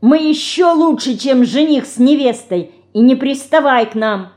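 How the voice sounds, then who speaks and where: A woman's voice: fairly high pitch, slow, warm tone, voice pushed up. A woman voicing a fiery, impulsive young girl with exclamatory delivery in a storybook reading. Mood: furious. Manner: impetuous, exclamatory. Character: fiery, impulsive young girl, tender yet quick-tempered.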